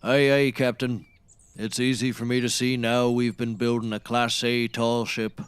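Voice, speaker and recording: A man's voice: mid-range voice, level tone, unhurried, clean tone, dry recording, natural voice. An actor playing a grandiose woodsman obsessed with seafaring, declaiming nautical bombast in a scripted comedy. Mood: grandly eager. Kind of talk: scripted comedy audio acting.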